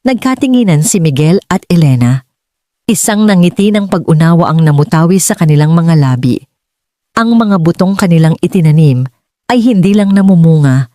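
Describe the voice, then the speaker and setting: A Filipino-accented woman narrating a scripted dramatic story in a measured, earnest way, as for an audiobook. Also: lowish voice, lively intonation, warm tone, no echo, studio quality.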